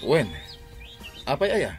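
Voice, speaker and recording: A man's voice: on the high side, big pitch swings, slow, faint music behind, exaggerated voice. A man acting in a radio drama as an opportunistic driver and kept lover, casual and sly.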